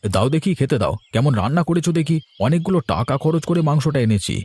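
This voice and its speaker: A man playing a stingy, grumbling middle-aged village father in a Bengali animated cartoon, talking in a dismissive, complaining way. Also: a little high, fast, husky, no room sound.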